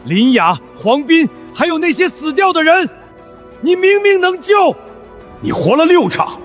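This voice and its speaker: A man playing a blunt, soft-hearted country survivor, emotional and plain-spoken in an animated drama. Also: very high-pitched, a little slow, faint music behind, exaggerated voice. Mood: outraged, anguished.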